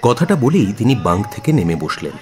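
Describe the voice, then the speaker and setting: A man narrates a radio drama in a low voice. His intonation is very animated as he reads the scripted story, with music clearly playing underneath. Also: brisk.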